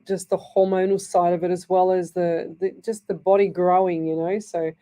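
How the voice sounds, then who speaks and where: A woman's voice: lowish voice, flat delivery, moderate pace, dark tone. A woman with an Australian accent hosting a live radio show as a psychic medium, chatting in a rambling, reassuring way with a dry, scratchy throat. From Northern Ireland. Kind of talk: live radio chat reading messages. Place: radio studio.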